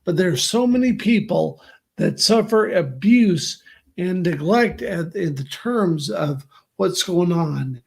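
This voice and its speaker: High-voiced male podcast host. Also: lively intonation, creaky. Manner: chatty, quick to laugh, many affirmations. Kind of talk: casual interview chat. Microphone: video call.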